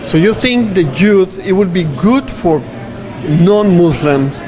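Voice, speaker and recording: A man's voice: high voice, expressive, slow. A man hosting a show, interviewing guests on location and often repeating his words. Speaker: show host. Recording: noisy crowd around.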